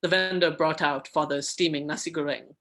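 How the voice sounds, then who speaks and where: A man's voice: on the high side, fairly even intonation, fairly quick, fairly bright tone. An Indian American man, an author on a video call panel, introducing his work in an earnest, flowing way and reading a passage of fiction. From United States, Indian American.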